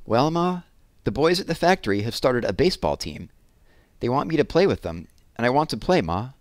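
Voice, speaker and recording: A man's voice: medium pitch, big pitch swings, a little fast, no echo, voice pushed up, natural voice. A man voicing an earnest, eager boy who pleads seriously with his mother in a story read aloud. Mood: eager, hopeful.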